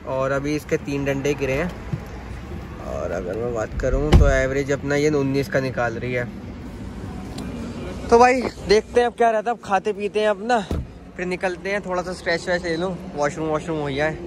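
A young man talks to the camera from inside a moving car at night, chatty and easygoing. His voice sits high for a man. Faint road noise hums behind him. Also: a bit bright. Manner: chatty, addresses viewers as bhai. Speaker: car vlogger. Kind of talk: casual road trip vlog.

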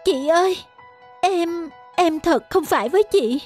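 An earnest, remorseful young woman in an animated drama, her voice high and loud. Music plays clearly beneath her. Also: a little slow. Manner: pleading and earnest. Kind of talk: cartoon voice acting.

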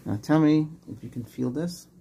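A man's voice: on the high side, normal speed, vocal fry, dark tone, slightly rough. A middle-aged man in an ASMR medical exam roleplay, playing a doctor who gives short, reassuring questions and instructions. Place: small indoor room set up as a clinic.

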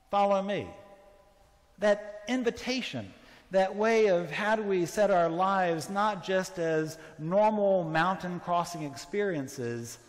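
A middle-aged man with a fairly high voice gives a conversational sermon, gesturing as he speaks. His words carry through a large church sanctuary with a long, lingering reverb. He talks with his congregation rather than at them. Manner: conversational, standing and gesturing as he preaches. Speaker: priest. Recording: church reverb from a fixed camera.

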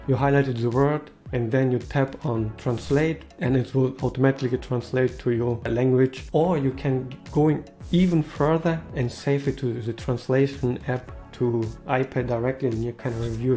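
Non-native English man, mellow tone. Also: mid-range voice, steady tone, moderate pace, hardly any pauses, faint music behind. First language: not English. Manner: slightly non-native phrasing, upbeat. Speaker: tech video host. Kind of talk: casual explainer to camera. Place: small room indoors.

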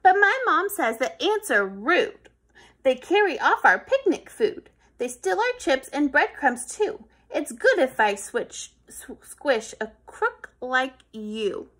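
A woman's voice: high-pitched, sing-song, slow, a bit bright, full character voice. A woman voicing a big, bossy boy in a rhyming storybook, boastful and sing-song. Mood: self-justifying. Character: big bossy boy taunting a tiny ant.

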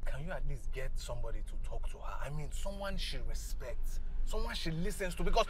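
A blunt, loyal friend, played by a man, lectures his buddy. His slightly high voice sounds firm and matter-of-fact in this comedy skit. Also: big pitch swings, fairly quick, poor audio. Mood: lecturing. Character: blunt, loyal male friend who lends money.

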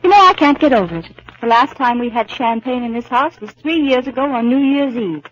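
A woman's voice: sits high, animated, a little fast. An actress in 1940s radio comedy playing a cool, sardonic wife who spars with her husband in dry, teasing sarcasm. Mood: wry. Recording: muffled 1940s radio broadcast recording.

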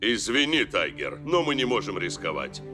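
An actor voicing a calm, stern adult football coach in a dubbed cartoon, giving measured instructions. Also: male voice, lowish voice, over music. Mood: instructive. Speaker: team coach. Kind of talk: cartoon dubbing.

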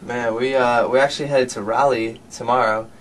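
A man's voice: medium pitch, fairly even intonation, normal speed, fairly bright tone, recorded from a distance. A young man in his twenties talks casually as a band guest in a radio studio. He is a little away from the mic, with faint noise behind him. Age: 20s. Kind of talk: casual interview talk.

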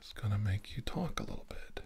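Deep-voiced man in a hushed ASMR roleplay. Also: animated. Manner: slow, deliberate, often dropping to a whisper. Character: calm, firm male doctor questioning a possessed patient. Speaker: ASMR performer playing a doctor. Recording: clear background noise.

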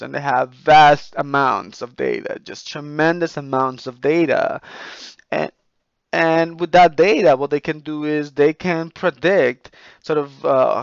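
A man giving an informal voice-over lecture, explaining in a halting way with repeats and rephrasing. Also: on the high side, vocal fry, clear, resonant tone, no echo, highs cut off.

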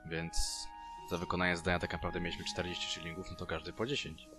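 Deep-voiced man playing a tabletop game. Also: monotone, fast talker. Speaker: tabletop RPG player. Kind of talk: in-game conversation. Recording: clear background music.

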